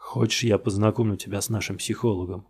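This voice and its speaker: A man's voice: fairly low pitch, steady tone, quick pace, gravelly, a little mellow, breathy edge. A man doing a tired, blunt police detective. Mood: weary. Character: weary, hard-pressing male police detective.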